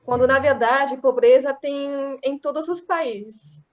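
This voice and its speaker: French woman guest, native French speaker, slow and halting, high voice, muffled lo-fi sound. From France. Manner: halting, hesitant, giggly. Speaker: interview guest. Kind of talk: casual interview chat. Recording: dull lo-fi audio.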